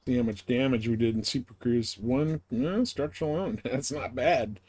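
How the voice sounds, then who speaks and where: A man's voice: mid-range voice, normal speed, a little mellow, slightly rough, no echo. A man giving casual live commentary as he plays a game, thinking aloud as he goes. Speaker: game streamer.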